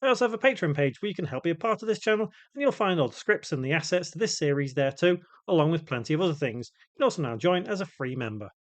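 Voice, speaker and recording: A man with a voice pitched a little higher than average presents a software tutorial. He talks in an explanatory way, walking through the steps one at a time and setting out technical details piece by piece. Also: animated, fast, hardly any pauses. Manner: explanatory, spells out code piece by piece. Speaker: tutorial host. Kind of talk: step-by-step software tutorial narration.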